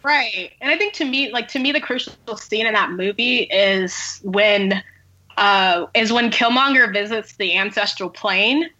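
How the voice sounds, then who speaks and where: A woman's voice: medium pitch, a little slow, sharp tone, lo-fi sound. A woman in a casual discussion, talking reflectively and peppering her thoughts with "like" and "you know". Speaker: podcast panelist.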